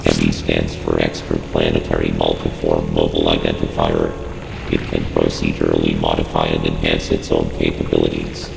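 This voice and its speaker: Extremely deep, gravelly robotic AI. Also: male voice, completely flat delivery, exaggerated voice. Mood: cold, impassive. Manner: measured, impassive, formal, authoritative. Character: cold, clinical male computer AI advisor briefing a soldier. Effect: synthetic robotic processing. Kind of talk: scripted game briefing. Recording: echoey long reverb, clear background noise.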